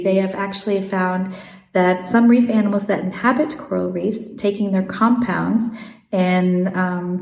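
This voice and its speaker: Gravelly-voiced American-accented woman, echoey room. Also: mid-range voice, a little mellow. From Swiss South African. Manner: measured, with frequent "um" fillers. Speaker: marine biologist guest. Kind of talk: explanatory interview answers.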